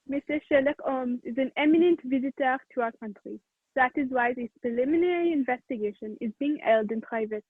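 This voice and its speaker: A woman's voice: high-pitched, husky, dry recording. A woman playing a stern, authoritative male police prefect, formal and commanding, in a radio play. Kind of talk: 1940s radio play acting. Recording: tinny phone-like narrow band.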